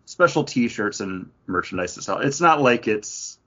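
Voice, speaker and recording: A man's voice: on the low side, expressive, unhurried, a bit bright, highs cut off. A man co-hosting a podcast, chatting casually about pop culture. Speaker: podcast co-host.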